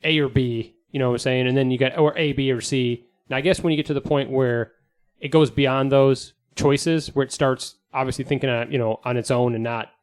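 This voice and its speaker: A man's voice: mid-range voice, steady tone, a little fast, smooth. A man co-hosting a casual podcast, informal and loosely worded, restarting his explanations as he goes. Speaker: podcast co-host. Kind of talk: casual panel discussion. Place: studio.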